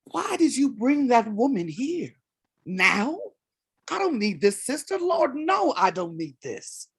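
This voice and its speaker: A woman's voice: fairly high pitch, very animated, slow pace, breathy edge, no echo, heavily acted. Woman acting an earnest, proud mother, close dry sound. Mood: upset, hurt. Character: embattled middle-aged mother, theater elder, wounded and proud. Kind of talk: staged reading of a play.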